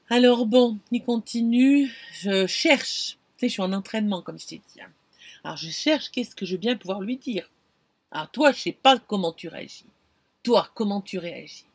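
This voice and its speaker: A middle-aged woman vlogging from inside a camper van, telling an anecdote in an animated, expressive way and talking straight to the viewer. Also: mid-range voice, husky, no room sound. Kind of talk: casual storytelling to camera. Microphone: camera close in front.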